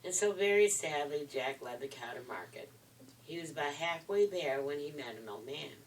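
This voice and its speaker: An older woman reading a storybook aloud for children in a deliberate, expressive way. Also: low-pitched. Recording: distant microphone, faint background noise.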